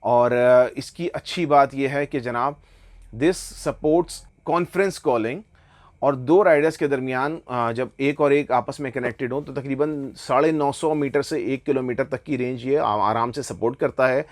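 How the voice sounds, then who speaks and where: A man with a Pakistani accent gives a casual product review and sales pitch, explaining enthusiastically and often slipping into English phrases. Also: a little slow. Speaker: online motorbike gear seller presenting products.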